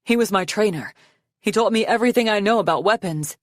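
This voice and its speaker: A woman voicing a young male dragon prince, anguished and fierce, who shouts and pleads urgently. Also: mid-range voice, fast talker, no room sound, studio quality, voice pushed up, close to an everyday voice. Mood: startled.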